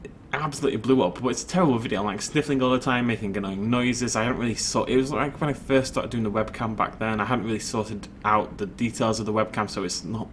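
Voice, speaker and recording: A man's voice: fairly low pitch, fast, slight background noise. A man casually rambling while commenting on his own gameplay, chatty and self-deprecating. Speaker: let's play host.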